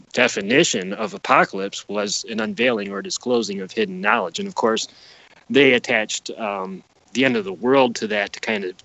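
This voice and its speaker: Guy guesting on a podcast, creaky voice, rambly earnest explaining, very dry sound. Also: sits high, fairly bright tone, dry recording, low-quality recording. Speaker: interview guest. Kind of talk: casual podcast interview.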